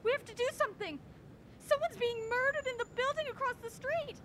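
A woman's voice: brisk, fairly bright tone. An actress playing an impulsive, indignant woman caught in a mystery game in an audio drama. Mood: panicked. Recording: noisy recording with rumble.

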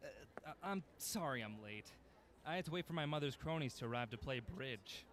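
A man's voice: medium pitch, lively intonation, a little fast, a bit bright, natural voice. A man playing an eager young film fan in an audio drama, chatting in a friendly, teasing way. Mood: apologetic, friendly. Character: eager young male film fanatic, devoted son and friend.

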